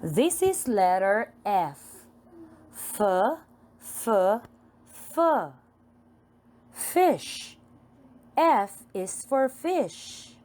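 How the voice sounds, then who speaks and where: A woman with a Filipino accent teaching phonics to young children, enunciating letters and words in a deliberate, repetitive, sing-song way. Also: medium pitch, very animated, darkish tone.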